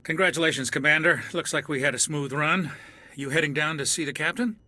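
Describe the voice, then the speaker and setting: An actor playing a wary naval officer in a video game, voicing doubts about the mission. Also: male voice, on the high side, fairly quick, pushed and bright. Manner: suspicious, questioning. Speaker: ship crewman.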